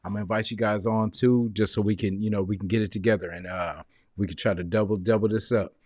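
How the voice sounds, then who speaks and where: A man's voice: on the low side, steady tone, fast, a little mellow, no room sound. A man hosting a fan podcast, laid-back and thanking his guests. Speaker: podcast host. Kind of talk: casual podcast sign-off.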